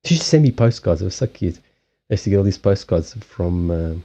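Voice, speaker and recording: Man from Mozambique, South African accent, Portuguese native, casual rambling stream chat, warm husky voice, lo-fi audio. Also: deep voice, expressive, a little fast. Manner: rambling, amused, self-correcting. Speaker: streamer doing card readings.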